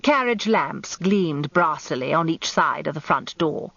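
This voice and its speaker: A woman's voice: low-pitched, creaky, fairly bright tone, no echo, full character voice. An actress with an English accent playing an observant, wry lawyer's wife turned amateur detective, confiding her story in a radio drama. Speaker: narrator. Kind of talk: first-person radio drama narration. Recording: dull lo-fi radio recording.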